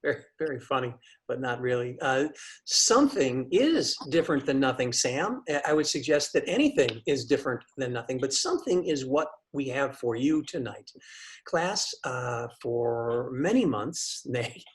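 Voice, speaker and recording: A man's voice: mid-range voice, sing-song, normal speed, natural voice. A man playing a pompous, thin-skinned professor in a web comedy, theatrical and stammering when challenged. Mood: grandiose. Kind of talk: scripted comedy acting on a video call.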